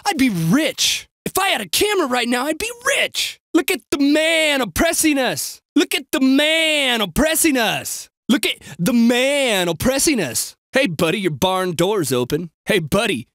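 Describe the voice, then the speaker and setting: A man voicing an excitable, sarcastic city bystander in a video game, with an exaggerated, wisecracking delivery. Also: high voice, no room sound, clean studio sound, heavily acted. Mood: indignant.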